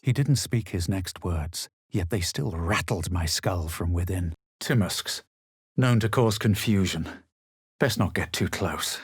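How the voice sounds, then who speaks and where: A man playing a haughty, self-assured young adventurer in a fantasy video game, speaking in a measured, theatrical way. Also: low voice, animated, slightly rough, no room sound, clean studio sound, full character voice. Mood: wary, aloof. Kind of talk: dramatic video game voice lines and narration.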